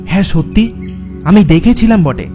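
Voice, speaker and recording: A man narrating a first-person horror story dramatically, with suspenseful pauses and repeated words. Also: high voice, expressive. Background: background music.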